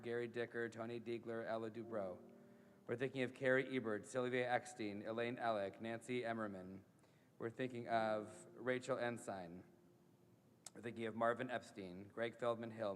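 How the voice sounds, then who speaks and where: A man leading a synagogue service as rabbi, gentle and halting, often repeating his words. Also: medium pitch, smooth. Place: synagogue sanctuary. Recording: reverberant sanctuary with music.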